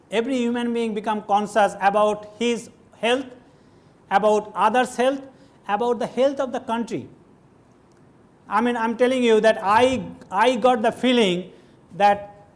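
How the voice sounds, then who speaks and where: A middle-aged man from West Bengal with a Bengali-tinged Indian English accent, giving a conversational lecture on a hall stage and asking the audience questions as he goes. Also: high-pitched. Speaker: guest lecturer. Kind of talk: classroom lecture.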